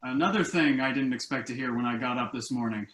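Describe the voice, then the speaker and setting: An actor playing a dry, wry and somewhat discouraged adult son and husband in a table read. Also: male voice, medium pitch, fast talker, a bit bright, natural voice. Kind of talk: stage comedy-drama table read. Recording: echoey room on online call.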